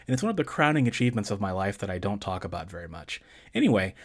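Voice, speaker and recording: A man hosting his own podcast, giving calm, measured advice to listeners in a relaxed, conversational way with a dry, deadpan humor. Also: lowish voice. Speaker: podcast host answering listener questions. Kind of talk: casual solo podcast talk.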